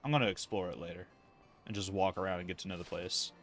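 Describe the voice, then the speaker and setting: A man's voice: low voice, steady tone, fast talker, a bit bright, soft background music. A man casually commentating a livestream of an online fantasy game, rambling and thinking aloud with casual swearing.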